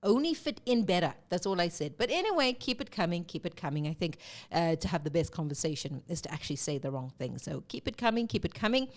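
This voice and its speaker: A woman hosts a live sports talk show in a loose, chatty way, with plenty of fillers and quick self-corrections. Her voice sits a little low and sounds stuffy from a cold. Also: big pitch swings. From South Africa. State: congested with a cold.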